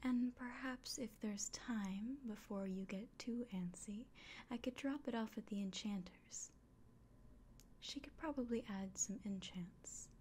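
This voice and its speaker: A woman playing a kindly, fussing innkeeper in a fantasy ASMR roleplay, whispering gently and murmuring to the listener. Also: fairly high pitch, unhurried, slight background noise, lightly acted. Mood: attentive, fussing.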